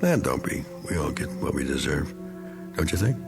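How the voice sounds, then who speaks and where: An actor playing a bitter, self-pitying middle-aged American war veteran in a radio drama, talking in a folksy, rambling, needling way. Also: male voice, a bass voice, big pitch swings, normal speed, vocal fry, over music, full character voice. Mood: bitter, brooding.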